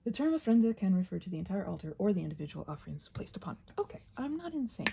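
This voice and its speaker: Soft, creaky-voiced woman rambling casually. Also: medium pitch, big pitch swings, rapid-fire, a little hiss or hum. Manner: soft-spoken, often drops into breathy whispers; hesitant, meandering, thinking aloud. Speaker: host of a craft ramble channel. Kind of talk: casual rambling chat while painting, ASMR.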